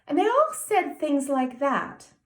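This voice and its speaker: A woman's voice: high voice, very animated, slow, roomy sound, pitched above the performer's own voice, full character voice. A woman voicing a serious, earnest small boy of nearly ten in a storybook reading. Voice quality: grave, emphatic childlike delivery.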